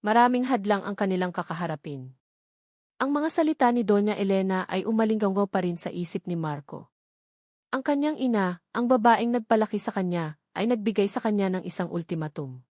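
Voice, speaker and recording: A woman's voice: medium pitch, expressive, fairly quick, a little mellow, no echo, studio quality. A woman with a Filipino accent reading a scripted, dramatic story as its narrator.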